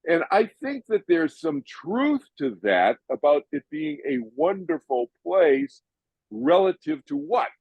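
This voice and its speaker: An older man from Massachusetts hosting an audience Q&A over a video call, chatty and animated, often restarting his sentences. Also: a little high, unhurried, vocal fry, slightly rough, no echo, highs cut off. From Massachusetts, USA. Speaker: host answering audience questions.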